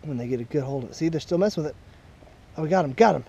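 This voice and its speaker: A man's voice: fairly high pitch, expressive, rapid-fire, vocal fry, warm tone, husky. A young father, patiently coaching his son while fishing at a pond, recorded on a body-worn camera outdoors. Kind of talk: casual chat while fishing. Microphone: body-worn action camera.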